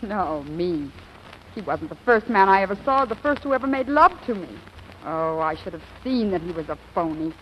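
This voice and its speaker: A woman's voice: on the low side, expressive, moderate pace, close to an everyday voice. A woman playing an impulsive, indignant young sweetheart in a 1940s radio comedy, with dramatic emotional delivery. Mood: rueful. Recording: muffled, hissy old radio recording.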